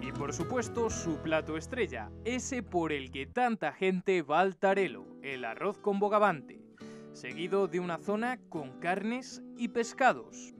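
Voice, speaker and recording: A woman's voice: on the low side, sing-song, a little slow. A woman with a Castilian Spanish accent reading scripted promotional narration for a television report. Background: guitar music.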